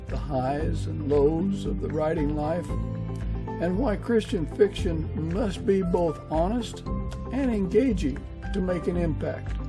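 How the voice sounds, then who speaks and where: A man's voice: fairly high pitch, gravelly. A man hosting a podcast, introducing and interviewing a guest. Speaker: podcast host. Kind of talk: interview, warm-up introduction and questions.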